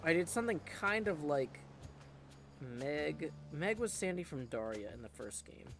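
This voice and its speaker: A man with a fairly high voice talks through a video game as a streamer, reading on-screen text and reasoning out his choices in a casual way. Game music plays behind him. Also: sing-song, a little slow. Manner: thinks aloud, reads game text out. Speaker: game streamer practicing voice acting. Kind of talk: casual live game commentary, reading aloud.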